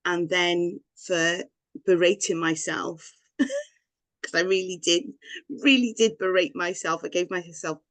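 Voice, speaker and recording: A woman's voice: fairly low pitch, fairly even intonation, a little slow, a bit bright, dry recording. A woman from Wales, a guest telling her own story in a rambling, candid way with frequent laughs. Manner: rambling, personal, laughs often. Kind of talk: candid personal story in interview.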